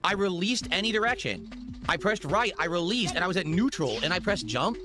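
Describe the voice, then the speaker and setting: A frustrated man in a replayed stream clip, complaining. His voice is high and swings widely, and loud game music plays under it. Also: animated, brisk.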